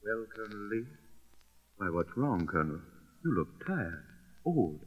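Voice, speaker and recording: An actor playing a formal, earnest government envoy who pleads respectfully, in old-time radio drama style. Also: male voice, fairly low pitch, sing-song, measured pace, big-room sound, soft background music. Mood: concerned, pleading. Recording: dull vintage radio recording.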